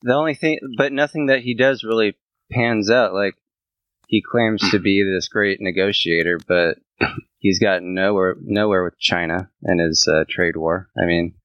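An American man, a podcast co-host, chatting casually in an agreeable, measured way. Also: low voice, fairly even intonation, no echo, dull audio. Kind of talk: casual chat. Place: outdoors by a fire.

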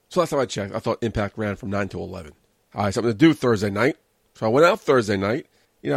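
A man's voice: on the low side, dry recording. Opinionated American man, dry close recording. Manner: opinionated, rambling, sardonic. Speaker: host of a wrestling review show. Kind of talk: casual solo commentary on wrestling shows.

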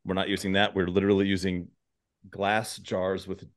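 A man's voice: deep voice, little pitch movement, moderate pace. A man hosting a podcast in casual, joking conversation, rambling along with goofy enthusiasm. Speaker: podcast host.

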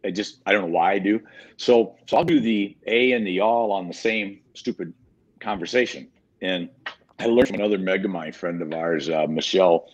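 A man's voice: sits low, moderate pace. A man from mid-Michigan with a Michigan accent touched by Southern speech, a guest in a casual online interview who rambles and repeats his words. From mid-Michigan, USA. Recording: muffled lo-fi video call audio.

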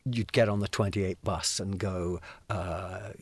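A man, telling lively anecdotes with frequent stammered restarts. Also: low voice, slow, breathy edge. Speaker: guest telling stories. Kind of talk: animated anecdote, acting out voices.

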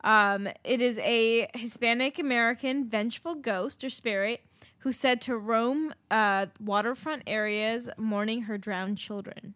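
A woman's voice: a little high, unhurried, a bit bright, no room sound. A young woman, co-hosting a podcast and telling a legend in a chatty way with lots of ums. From Southern California. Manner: chatty, many ums, swearing. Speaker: podcast co-host. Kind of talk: casual storytelling chat.

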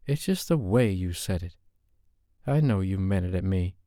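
A man's voice: low-pitched, lively intonation, normal speed, mellow, dry recording, clean studio sound. A man voicing an upset young woman in an audiobook, speaking in a raised, strained, heated way. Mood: sulky.

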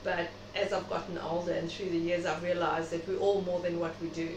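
A woman's voice: lowish voice, flat delivery, a little fast. A middle-aged South African woman speaking reflectively and chattily as a guest in an interview. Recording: noisy, echoey room, distant mic.

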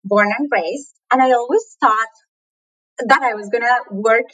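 A woman's voice: fairly high pitch, measured pace, a bit bright, low-quality recording. A woman from Colombia with a Colombian accent, a native Spanish speaker, talking reflectively as an interview guest and laughing often. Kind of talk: conversational interview.

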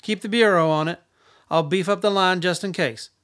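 An actor playing a haughty, sardonic government secretary in a radio drama, with an arch, dryly condescending manner. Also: male voice, sits high, moderate pace, fairly bright tone. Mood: brisk, superior.

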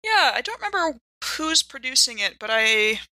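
A woman's voice: high voice, sing-song, moderate pace, bright, forceful tone, dry recording. A woman chatting casually and quippily as a podcast co-host. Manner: joking, quippy. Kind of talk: casual chat.

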